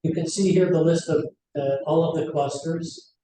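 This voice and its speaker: A man presenting to investors as a company executive, with a somewhat halting delivery. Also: fairly even intonation. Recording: very echoey room, noisy rumbling background.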